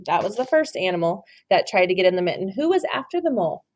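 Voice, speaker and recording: Brief: woman teacher, read-aloud to a kid, animated pitch, gentle, encouraging. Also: quick pace, background noise. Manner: gentle, encouraging, dramatic storytelling. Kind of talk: children's read-aloud with questions.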